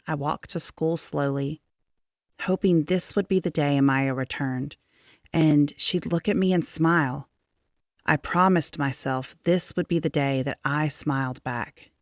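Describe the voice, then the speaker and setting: A woman narrates a children's picture book in a low voice. She takes her time, with measured, expressive phrasing, and the sound is close and dry. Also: dry recording. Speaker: storybook reader. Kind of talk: children's read-aloud narration.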